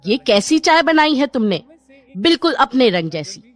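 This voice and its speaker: A woman playing a middle-aged, sharp-tongued Indian mother-in-law with theatrical delivery in a cartoon story. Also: high-pitched, sing-song, normal speed. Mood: taunting. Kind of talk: Hindi animated moral story dialogue. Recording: music bed behind the voice.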